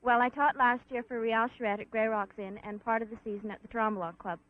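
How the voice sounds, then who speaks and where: A woman's voice: a little high, fairly even intonation, a little fast. A young woman with a faint English accent, giving modest, slightly hesitant answers in a casual interview. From England and Canada. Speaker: pageant queen and ski instructor. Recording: tinny 1960s film audio.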